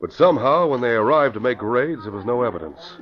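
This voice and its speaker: An actor playing an earnest, indignant police commissioner, in an old radio drama. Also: male voice, mid-range voice, expressive, brisk, lightly acted. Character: middle-aged man, indignant police commissioner. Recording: dull lo-fi old radio recording.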